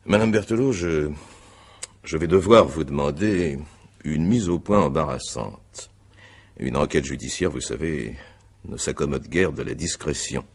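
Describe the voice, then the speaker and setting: Radio mystery: male magistrate, bottom-register husky voice, formal, methodical, politely relentless interrogator. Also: rumbling low, expressive, heavily acted. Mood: measured, insistent. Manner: formal, measured, hesitant questioning. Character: probing, courteous male examining magistrate, methodical and insistent. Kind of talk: French radio mystery drama acting.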